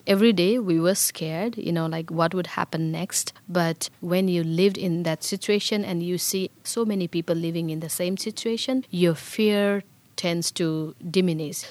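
A middle-aged woman from Bhutan, speaking English with a Bhutanese Nepali accent, her voice a little low. She tells her life story in a reflective, earnest way. The recording is close and dry. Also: a little mellow, no echo. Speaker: interviewee. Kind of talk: personal storytelling.

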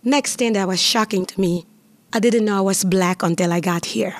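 Lively woman telling her story. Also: medium pitch, normal speed. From Curaçao. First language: Papiamentu. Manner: lively, chatty, laughs often. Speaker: interviewee. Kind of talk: personal storytelling.

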